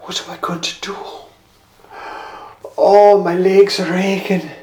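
A storyteller voicing a worn-out man muttering quietly to himself. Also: mid-range voice, steady tone, slow pace, voice pushed up, close to an everyday voice. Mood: despairing. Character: weary, sore male cyclist. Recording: roomy echo.

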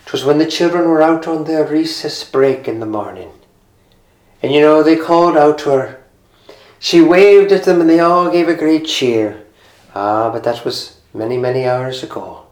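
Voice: mid-range voice, moderate pace, a little mellow, close to an everyday voice. A storyteller with an Irish accent voicing a plain-spoken village schoolmaster. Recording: roomy echo.

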